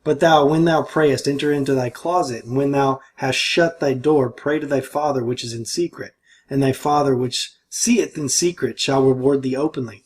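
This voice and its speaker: A man giving casual, disapproving commentary and reading aloud somewhat haltingly. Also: medium pitch.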